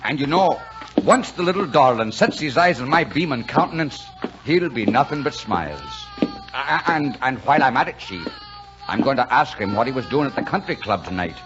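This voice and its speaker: A man playing a brash, warm-hearted truck driver in a colloquial, folksy 1940s radio drama style. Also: medium pitch, sing-song, normal speed, natural voice. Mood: jubilant, teasing. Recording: dull vintage 1940s radio broadcast, music.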